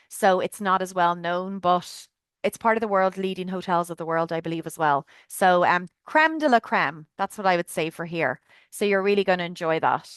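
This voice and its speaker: Irish lady gushing about a trip on a video call, clear voice, no room echo. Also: medium pitch, fairly bright tone. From Ireland. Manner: chatty, enthusiastic, lots of fillers. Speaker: tour guide presenting a trip. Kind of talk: webinar presentation.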